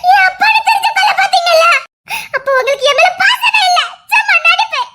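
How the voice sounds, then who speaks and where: An actress playing a young woman who accuses her family through tears, shouting and sobbing in a TV serial drama. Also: extremely high pitch, expressive, fast talker, heavily acted. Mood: tearful accusing. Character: young woman, fierce defiant daughter defending her father.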